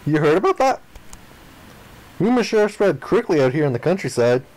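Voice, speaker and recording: Expressive man reading a story aloud. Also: a little high, moderate pace, low-quality recording. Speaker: playthrough narrator reading a visual novel aloud. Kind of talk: expressive read-aloud of story narration and dialogue.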